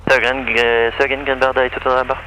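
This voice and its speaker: A man working as an airline pilot, reading back clearances a little hesitantly over aircraft radio. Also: medium pitch, flat delivery, fast, background noise. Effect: aircraft radio transmission.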